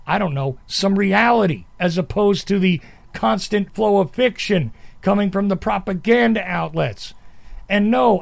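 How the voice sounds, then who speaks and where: A man's voice: high voice, creaky, dry recording. A man hosting a podcast interview, talking in a rambling, emphatic way that keeps interrupting itself. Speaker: podcast host. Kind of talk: conversational interview.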